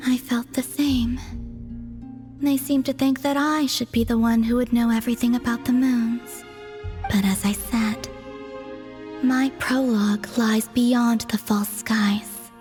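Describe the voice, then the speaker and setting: A woman voicing a calm, detached and mysterious young woman who speaks softly and formally. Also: a little high, a little slow, faint music behind, close to an everyday voice. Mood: serene. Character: serene, mysterious young woman, a former Fatui agent. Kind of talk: game cutscene voice acting.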